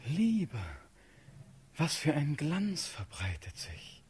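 An actor playing a dreamy, solemn young prince in a classical verse radio play, declaiming in breathy, rapt tones. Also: male voice, a little high, big pitch swings, slow pace, heavily acted. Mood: wondering.

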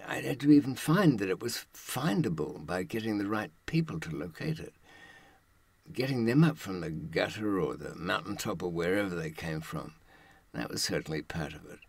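Husky older man with a dramatic, swooping delivery. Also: sits low, expressive, no room sound. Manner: deliberate, dramatic phrasing with pauses and rhetorical questions. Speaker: monologist. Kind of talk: reflective spoken monologue to camera.

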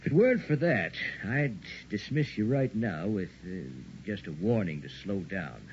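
A man's voice: lowish voice, very animated, normal speed, a little mellow. A man playing a concerned, cautious but firm doctor in an early 1960s radio drama. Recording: muffled old radio recording with hiss.

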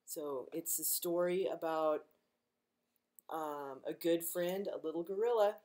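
A middle-aged woman reading a picture book aloud to young children, gentle and expressive, as a librarian at home. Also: deep voice, measured pace. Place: living room at home, indoors.

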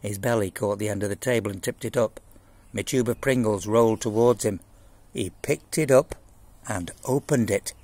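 A man in his sixties with a husky voice, reading a children's novel aloud in an expressive storytelling style, outdoors. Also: fairly low pitch, normal speed, dry recording. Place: outdoors in a garden. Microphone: phone at arm's length.

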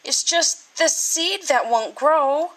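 A woman's voice: high voice, takes it slowly, fairly bright tone, pitched above the performer's own voice. A woman voicing an honest, earnest young boy in a children's storybook. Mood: defensive.

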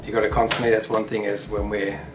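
A man's voice: fairly low pitch, flat delivery, quick pace, background noise. A man in his thirties coaching and explaining informally as a controller and instructor, recorded in an indoor room. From United Kingdom. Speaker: air traffic controller and instructor. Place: indoor tower simulator room.

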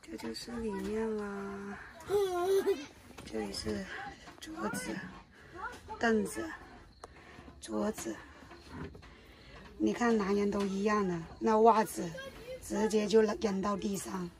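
A woman's voice: medium pitch, steady tone, slow, long pauses, crowd noise. A woman chatting casually while showing a camper trailer at a campsite, filmed on a phone. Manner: chatty, showing around and narrating. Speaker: vlogger. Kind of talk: casual family camping vlog. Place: outdoors at a campsite.

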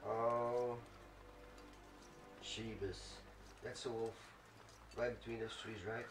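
A man hosts a casual let's play, reacting to the game with muttering and swearing. His voice sits at a middling pitch, away from the mic in an echoey room. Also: flat delivery, slow pace, over music. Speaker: gaming video host. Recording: roomy, distant mic, clear background noise.

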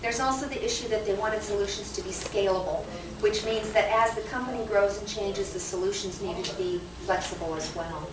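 A woman's voice: mid-range voice, brisk, few pauses. Echoey, distant woman presenting at a conference. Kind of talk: prepared presentation to a live audience. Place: conference session room. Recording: echoey noisy room, distant microphone.

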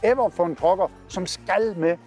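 A man's voice: sits high, over loud music. A man in his forties with a Southern Jutland Danish accent, chatting upbeat to camera outdoors on a lapel mic. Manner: upbeat, chatty, pointing while talking. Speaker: trucker vlog host. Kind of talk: casual vlog narration to camera. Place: outdoors by parked trucks.

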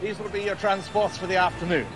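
A man's voice: high-pitched, fairly even intonation, fast, over music, natural voice. A man voicing a cheerful, grandfatherly elderly park founder who talks up his park with pride. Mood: proud. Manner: genial, grandfatherly, boastful.

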